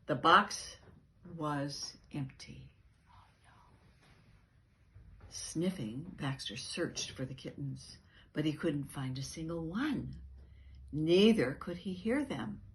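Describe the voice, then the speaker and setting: Lady storyteller reading a picture book to kids, unhurried, lowish voice, leans on key words. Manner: expressive, emphatic on key words. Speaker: storyteller, reading organisation's founder. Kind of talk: children's picture book read-aloud with asides.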